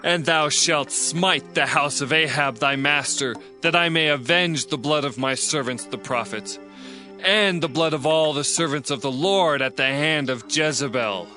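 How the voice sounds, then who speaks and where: An actor playing an earnest young prophet, solemn and declamatory, in a dramatized scripture reading. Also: male voice, a little high, a little slow, sharp tone, soft background music. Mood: solemn, fervent. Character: earnest young male prophet proclaiming divine judgment.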